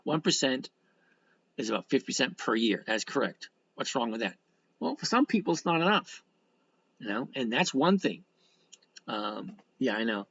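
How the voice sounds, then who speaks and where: A man's voice: medium pitch, big pitch swings, normal speed, pauses often, a bit bright, husky, lo-fi sound. A man of sixty chatting casually as a livestream host, reading out and answering comments in a blunt, folksy, rambling way with some mild swearing. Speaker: livestream host and stock trader.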